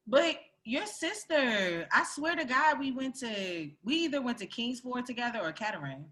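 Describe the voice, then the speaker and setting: A woman born in Greece chatting casually on a podcast, animated, laughing often and swearing freely. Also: on the high side, moderate pace.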